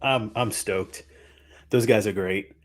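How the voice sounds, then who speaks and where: A middle-aged man co-hosting a live toy show, relaxed and laughing easily in casual chat. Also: lowish voice, slow pace. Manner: laid-back, laughs easily. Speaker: co-host.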